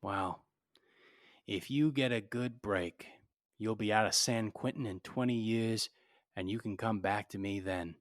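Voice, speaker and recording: An actor playing a tough, cynical private detective in 1940s radio drama style, blunt and clipped. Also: male voice, lowish voice, unhurried, lightly acted. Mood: grimly resolved. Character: hard-boiled cynical male private detective, tough and unsentimental.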